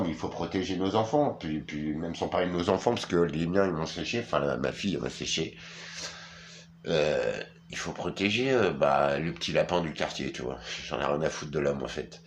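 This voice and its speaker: A man's voice: deep voice, slight background noise. A tired middle-aged man from France, ranting casually to camera, crude and rambling with many fillers and restarts. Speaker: vlogger.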